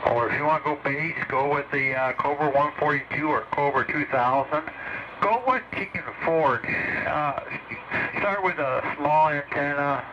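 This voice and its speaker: A man comes through on a muffled CB radio with clear noise on the signal. He talks slowly in a halting, folksy ramble, sharing casual tips as a hobbyist. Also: fairly high pitch. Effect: heard over CB radio. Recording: dull, noisy CB radio transmission.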